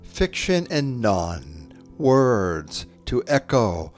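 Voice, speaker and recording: A man hosting a poetry podcast, reading scripted lines in a measured, deliberate way with long pauses between short phrases. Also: mid-range voice, sing-song, soft background music.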